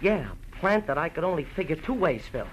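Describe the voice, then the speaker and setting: An actor playing a nervous, bitter man just out of jail, speaking haltingly and repeating words in an old radio drama. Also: fairly high pitch, very animated, lo-fi sound. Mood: bitter, agitated. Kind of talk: 1940s-50s radio drama acting. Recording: old radio broadcast, faint noise.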